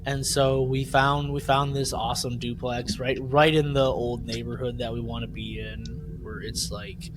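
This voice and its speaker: Male podcast panelist, mid-pitched, loose conversational storytelling, noisy recording. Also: fairly even intonation, moderate pace, hardly any pauses. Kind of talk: casual chat, personal storytelling.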